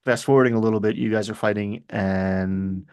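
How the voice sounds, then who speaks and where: A man talking casually as a game master, telling stories in an animated way and acting out little asides. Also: fairly low pitch, moderate pace, clear, resonant tone. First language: English. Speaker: game master discussing his tabletop games. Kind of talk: casual conversational chat.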